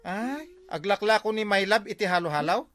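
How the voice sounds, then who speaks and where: A man's voice: high-pitched, lively intonation, normal speed, a bit bright. Jealous husband for barangay comedy male actor: grumpy, suspicious, scolding everyday delivery. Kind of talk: Ilocano barangay comedy drama acting.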